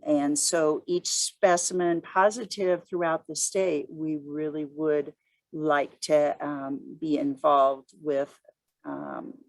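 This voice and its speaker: A woman moderating an informal work conference call, speaking in halting, deliberate phrases. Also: sits low, no echo, rough recording.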